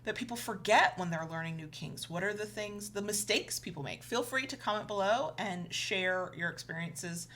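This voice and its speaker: Woman, mid-pitched voice, co-host, conversational advice talk, emphatic, explanatory. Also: moderate pace, few pauses, a bit bright, slight background noise. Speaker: co-host of an educational video show.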